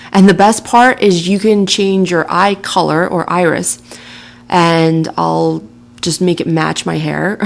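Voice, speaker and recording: A woman narrating a software tutorial in a casual, chatty way, laughing lightly now and then. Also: fairly low pitch, vocal fry. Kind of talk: screen-recording tutorial narration.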